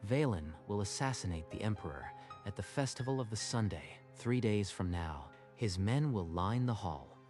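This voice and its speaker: A man voicing a solemn hooded guardian leader in a manga dub, grave, reverent and measured. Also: on the low side, expressive, a little mellow, soft background music, lightly acted. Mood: grave warning. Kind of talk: dramatic manga dub acting.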